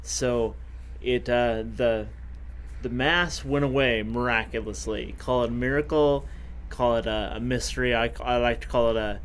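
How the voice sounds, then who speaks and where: A man of fifty, a podcast guest, telling his own story in a casual, halting way with many fillers and self-corrections. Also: medium pitch, smooth. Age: 50s.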